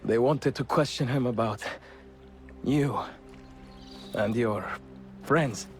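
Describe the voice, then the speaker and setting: An actor playing a weary freed rebel prisoner in a video game cutscene. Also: male voice, mid-range voice, takes it slowly, a little mellow, soft background music, lightly acted. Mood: weary, halting.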